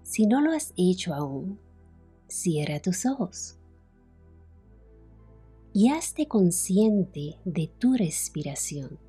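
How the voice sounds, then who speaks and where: A woman leading a guided relaxation as a meditation instructor, soothing and unhurried, with long pauses between phrases. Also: on the low side, very animated, dark tone, breathy edge, faint music behind. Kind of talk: guided relaxation, yoga nidra narration.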